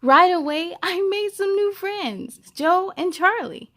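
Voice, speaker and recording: High-pitched woman reading aloud to children. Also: expressive, slow pace, fairly bright tone. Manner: encouraging, speaks directly to young listeners. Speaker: children's story-time host. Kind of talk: expressive read-aloud for children with chatty asides.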